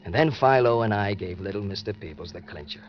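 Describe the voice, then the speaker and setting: An actor playing a greedy, scheming young impostor who confides like a hard-boiled narrator in an old-time radio crime drama. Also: male voice, fairly low pitch, lively intonation, fairly quick, close to an everyday voice. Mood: confiding, smug. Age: young adult. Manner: colloquial, confiding tough-guy storytelling. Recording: muffled vintage radio recording with music.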